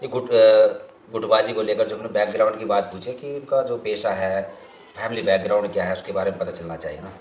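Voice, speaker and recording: A man's voice: on the low side, fairly even intonation, a little fast, darkish tone. A man working as a news interviewer, putting probing political questions and follow-ups, recorded with a roomy echo.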